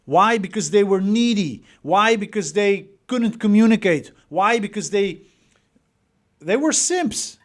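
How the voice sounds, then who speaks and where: A man's voice: high-pitched, lively intonation, a little slow. A man in his forties from the Netherlands with a Dutch accent, giving an emphatic motivational coaching talk with rhetorical questions and repeated words. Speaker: dating coach.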